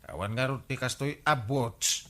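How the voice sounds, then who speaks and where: A man's voice: medium pitch, normal speed, sharp tone, close to an everyday voice. A man playing a fussy, whiny neighbor who complains with exasperated exclamations in an Ilocano comedy radio drama. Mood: exasperated, whining.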